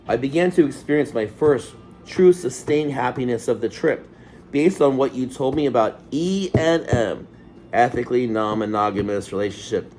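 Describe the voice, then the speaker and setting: A man's voice: medium pitch, normal speed, a little mellow, faint music behind. A man co-hosting, reading a letter aloud and adding brief casual comments. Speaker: co-host.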